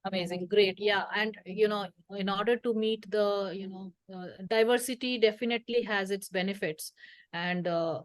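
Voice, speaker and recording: Female interview host, conversational delivery, mid-pitched voice in a close, dry sound. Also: fairly even intonation, normal speed, no room sound.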